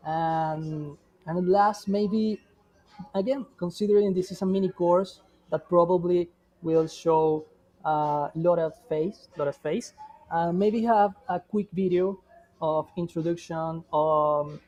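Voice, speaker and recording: A man talks through marketing feedback at a slow, unhurried pace. His voice sits fairly high yet sounds dark and mellow. He stops and restarts often, filling the gaps with short hesitations. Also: steady tone, dull audio. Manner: halting, frequent restarts and fillers. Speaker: guest marketing expert. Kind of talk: reviewing examples in conversation.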